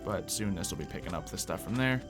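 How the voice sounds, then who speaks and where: A man's voice: deep voice, lively intonation, fast talker. A man doing gameplay commentary, thinking aloud and explaining each step with playful, exaggerated exclamations. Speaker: gaming video host. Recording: music playing under the voice. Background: background music.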